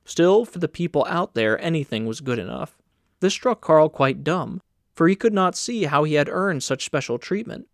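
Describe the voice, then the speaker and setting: A man's voice: on the high side, expressive, normal speed, gravelly, no room sound, clean studio sound. A man reading scripted literary narration as an audiobook narrator.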